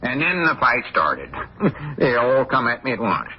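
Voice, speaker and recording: An actor playing a boastful, blustering small-town old-timer with a rural Arkansas accent, folksy and evasive in 1940s radio comedy style. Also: male voice, medium pitch, wildly swooping pitch, a little slow, breathy edge, full character voice. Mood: boastful storytelling. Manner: folksy, evasive, self-important, backtracking. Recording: muffled, dull 1940s radio recording.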